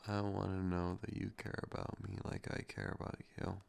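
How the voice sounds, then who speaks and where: A man performing a romantic ASMR roleplay to the listener, soft, intimate and teasing with a possessive edge. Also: sing-song, moderate pace. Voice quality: low gravelly voice with heavy vocal fry.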